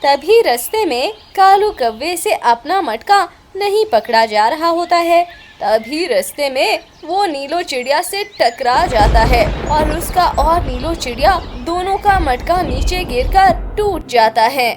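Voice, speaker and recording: A woman narrates a children's story in a high voice. She takes it slowly, as a scripted storyteller, with music clearly playing behind her.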